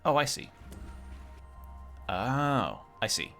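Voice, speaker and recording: A man's voice: mid-range voice, sing-song, very slow, bright, forceful tone. A young man chatting casually on a livestream as he plays a game at home, sounding slightly sniffly. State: slightly sniffly from a cold room. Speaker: game streamer. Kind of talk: casual livestream chat over gameplay. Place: own room at home. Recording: music playing behind the voice.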